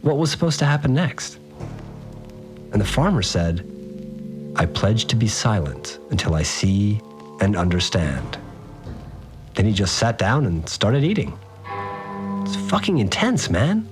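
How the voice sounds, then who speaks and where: A man's voice: on the low side, very animated, a little slow, long pauses, over music. An actor playing a rattled, slangy, laid-back guy, telling his story conversationally in an audio drama. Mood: subdued, puzzled, then bewildered, shaken. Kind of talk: informal first-person storytelling in audio drama.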